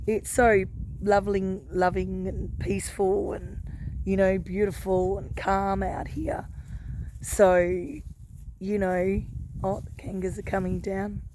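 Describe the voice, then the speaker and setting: A hoarse Australian woman with a croaky voice, musing gently in a casual vlog and often adding "you know". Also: mid-range voice, no echo. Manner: gentle, unhurried, warm-hearted musing with many "you know" fillers. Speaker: lifestyle vlogger. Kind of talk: casual reflective chat to camera.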